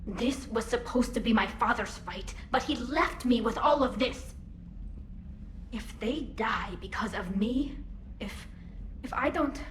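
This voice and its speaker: A woman's voice: on the high side, measured pace, lightly acted. An actress voicing an earnest, hesitant young alien woman, a self-doubting admiral in a video game cutscene. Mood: anxious, burdened. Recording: roomy echo with clear background noise.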